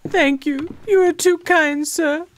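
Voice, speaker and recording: A woman's voice: sits high, steady tone, takes it slowly. A woman playing a proud, distressed noblewoman client in a radio drama, speaking formally and earnestly. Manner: formal, stately, earnest phrasing.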